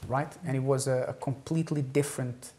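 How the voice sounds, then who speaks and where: A woman's voice: extremely low pitch, fairly even intonation, brisk, dark tone. A woman hosting a talk show, upbeat and full of questions for her guests.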